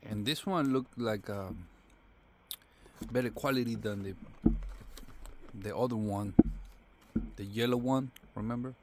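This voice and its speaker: A man reviewing a product casually, thinking aloud in short, halting fragments. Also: on the low side, background noise.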